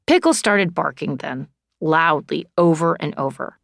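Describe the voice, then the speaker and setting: A woman reading an audiobook in expressive first person, wry and conversational. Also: sits low, measured pace, gravelly, no room sound, clean studio sound. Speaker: audiobook narrator.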